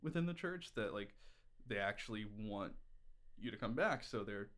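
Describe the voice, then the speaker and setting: A man's voice: lowish voice, lively intonation, moderate pace, fairly bright tone. A man chatting casually as a podcast guest, telling personal stories in a candid, irreverent, rambling way. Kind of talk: casual conversational storytelling.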